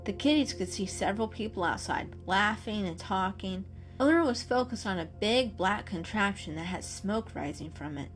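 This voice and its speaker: A woman's voice: mid-range voice, animated, moderate pace, low-quality recording. A woman narrates a children's story as a dramatic reading. She works as a storyteller guiding the listener through scenes. Music plays behind her voice.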